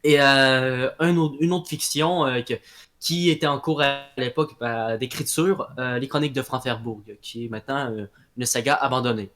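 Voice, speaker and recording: A young man from Quebec with a Québécois French accent, a guest in a casual interview, talking with many pauses and restarts. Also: medium pitch, fairly bright tone. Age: young adult. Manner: hesitant, many fillers and restarts.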